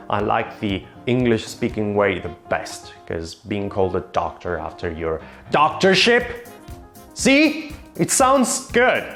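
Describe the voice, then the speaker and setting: A young man, a native Italian speaker with an Italian accent, ranting ironically and animatedly as a linguist on a casual vlog. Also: on the low side. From Italy. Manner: ironic, animated, exasperated rants. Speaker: vlogger, linguist.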